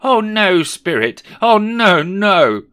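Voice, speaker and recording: A man's voice: fairly high pitch, huge pitch swings, a little slow, sharp tone, no echo, voice pushed up, full character voice. A man voicing a theatrical, exclamatory miser prime minister in a storybook reading, frightened and reformed. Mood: pleading, terrified. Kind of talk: dramatic audiobook character reading.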